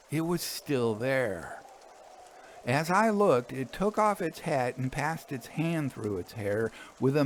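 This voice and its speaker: Slow, expressive man reading a ghost story. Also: a little high, slight background noise. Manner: measured, suspenseful, expressive phrasing. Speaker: storyteller reading fiction aloud. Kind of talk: dramatic literary ghost story narration.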